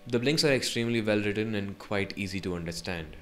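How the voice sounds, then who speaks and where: Male tech presenter, lowish register, scripted review narration over a faint music bed. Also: fast talker, a bit bright. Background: background music.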